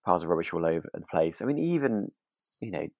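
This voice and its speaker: A man's voice: low voice, big pitch swings, fast talker, vocal fry, a little mellow, no echo. A British man chatting as a podcast co-host, hesitant and often restarting his sentences. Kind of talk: relaxed chat discussing a radio drama.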